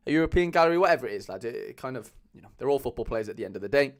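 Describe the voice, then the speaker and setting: A young man with a Cardiff accent chatting casually as a livestream host, loose and rambling with lots of fillers, speaking into a mic on a boom arm at home. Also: expressive, racing. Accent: Cardiff Welsh. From Wales. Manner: chatty, loose, many fillers, calls viewers lads.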